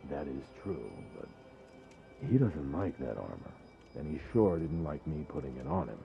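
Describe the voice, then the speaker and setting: A gruff soldier in a video game, grumbling away. His voice is extremely deep and gravelly, with clear music behind it. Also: sing-song, normal speed, warm tone, full character voice.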